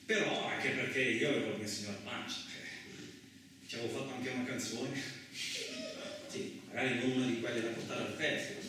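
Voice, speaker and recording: An actor playing a rough, rambling male truck driver in a colloquial radio theatre monologue, with an earthy, agitated manner. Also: mid-range voice, wildly swooping pitch, moderate pace. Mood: wistful, joking. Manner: earthy, agitated, rhetorical tags, swearing. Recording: echoey distant room, faint noise.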